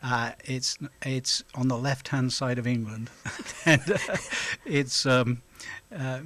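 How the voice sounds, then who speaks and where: Halting middle-aged man. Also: medium pitch, fairly bright tone. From South Wales. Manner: halting, lots of hesitations, chuckles now and then. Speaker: guest, volunteer officer. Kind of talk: interview answers.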